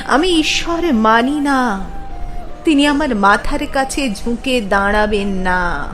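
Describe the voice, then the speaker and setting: A woman gives a dramatic poetry recitation. She takes it very slowly, setting each phrase apart with a deliberate pause, with some music playing behind her. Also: fairly high pitch, expressive, a bit bright.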